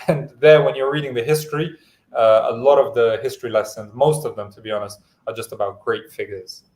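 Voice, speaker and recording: A man's voice: medium pitch, steady tone, a little fast, darkish tone. A man whose first language is Pashto, hosting a casual livestream talk and speaking in an easygoing way with many hesitant fillers. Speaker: livestream host.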